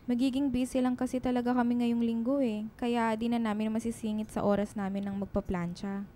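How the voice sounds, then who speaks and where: An actress playing a kind, considerate Filipino household employer in a radio drama, friendly and caring in manner. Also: female voice, fairly high pitch, flat delivery, a little fast, mellow, slight background noise, lightly acted.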